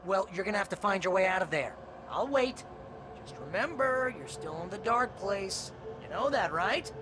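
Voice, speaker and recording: An actor playing a needling imaginary best buddy in a game, chatty, sardonic and theatrical. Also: male voice, sits high, expressive, normal speed, background noise. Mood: sardonic, urging. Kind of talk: comic video game acting.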